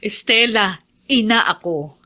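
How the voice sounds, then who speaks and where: A woman's voice: mid-range voice, unhurried, pushed and bright. An actress playing an anguished, forgiving middle-aged mother in a Filipino radio drama, pleading emotionally with a Filipino accent. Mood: anxious, pleading. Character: anguished, forgiving mother worried for her wayward son.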